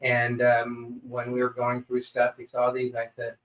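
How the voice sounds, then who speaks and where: A man's voice: medium pitch, moderate pace, muffled recording. Easygoing male co-host in an echoey room. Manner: relaxed, many ums and uhs, teasing. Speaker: co-host of a live stream. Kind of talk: casual live-stream chat.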